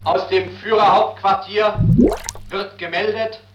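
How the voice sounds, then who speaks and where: 1940s German radio announcer, high-pitched, slow, grave, declamatory, echoey old broadcast. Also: male voice, steady tone, dull audio, very noisy. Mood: grave, proclaiming.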